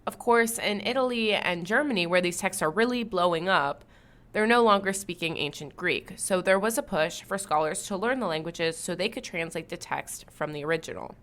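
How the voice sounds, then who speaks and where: A woman hosting a history podcast, reading scripted narration smoothly. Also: fairly low pitch, moderate pace, a bit bright. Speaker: podcast host.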